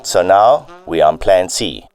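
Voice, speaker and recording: Man as menacing crime-boss police chief, very low voice, swooping delivery, amateur radio drama. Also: animated, darkish tone. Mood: scheming, boastful. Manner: deliberate villainous monologue.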